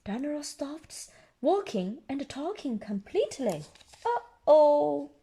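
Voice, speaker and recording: High-pitched, animated woman storyteller. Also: slow. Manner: explains and asks simple questions of a child. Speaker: children's storyteller. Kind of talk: animated read-aloud storytelling for toddlers.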